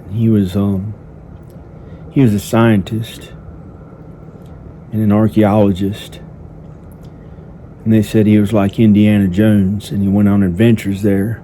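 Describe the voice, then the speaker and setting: A man improvising a first-person story in a halting, simple, repetitive manner, with hesitant pauses. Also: fairly low pitch, little pitch movement, dark tone. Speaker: amateur storyteller narrating own improvised story. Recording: noticeable background noise. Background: ambient sound effects.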